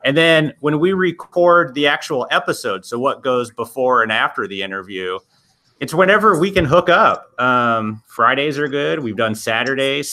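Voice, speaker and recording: A man's voice: mid-range voice, sharp tone. A man who hosts a podcast, chatting casually and a bit self-deprecatingly on a video call. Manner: rambling, self-deprecating, restarts often. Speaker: podcast host and editor. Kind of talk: casual interview chat.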